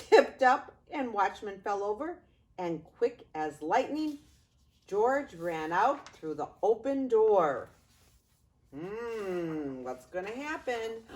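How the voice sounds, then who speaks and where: An older woman reading a picture book aloud to young grandchildren, expressively, with playful asides to the listeners. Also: a little high. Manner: expressive, unhurried, addressing small children.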